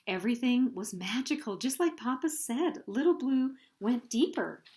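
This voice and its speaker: A woman hosting storytime, reading a children's picture book aloud in an expressive, deliberate way. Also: on the high side.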